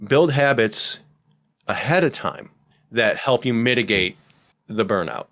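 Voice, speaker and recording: A man's voice: lowish voice, expressive, a little slow, a bit bright. A man hosting a podcast in casual chat, rambling and self-deprecating, with many restarts. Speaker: podcast host.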